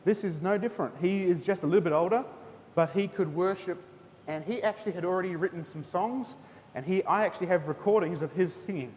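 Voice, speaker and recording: A man's voice: fairly quick, a little mellow, highs cut off. A man, a teacher speaking at a school chapel in a chatty manner, often asking "okay?". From New Zealand. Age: 30s. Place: school hall. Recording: hall reverb, faint rumble.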